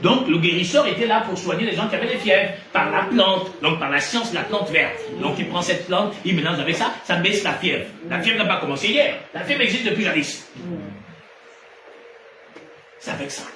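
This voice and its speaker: A man preaching an animated sermon, his voice set a little high. He swings from loud emphatic peaks to low, quiet asides. He sounds distant from the mic, in a roomy space full of echo, with noticeable background noise. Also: normal speed. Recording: echoey room from far off, noisy ambience.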